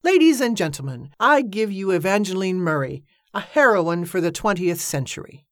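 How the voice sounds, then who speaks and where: A woman's voice: lowish voice, expressive, normal speed, a bit bright, no echo, clean studio sound. A woman voicing a bossy woman promoter who speaks in formal, showy public-speech style. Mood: grandiose.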